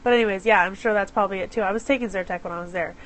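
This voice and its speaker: A woman's voice: mid-range voice, rapid-fire. A woman with a head cold hosting a casual live stream from her phone. She is chatty and apologetic and greets viewers by name. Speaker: live stream host for small business owners. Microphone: phone camera.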